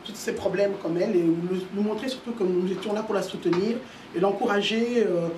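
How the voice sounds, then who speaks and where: A woman's voice: medium pitch, brisk, dark tone. A woman from Italy answering as a talk show guest, hesitant and full of fillers and repeated words. Her voice sounds roomy and a little far from the mic. A faint noise sits underneath. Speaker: association organiser, guest. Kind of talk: interview answers.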